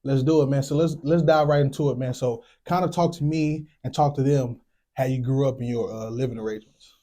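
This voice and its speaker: A man's voice: medium pitch, brisk, dark tone. A man hosting a casual testimony show, laid-back and sprinkling his talk with "man". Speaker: podcast host. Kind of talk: casual show intro and interviewing.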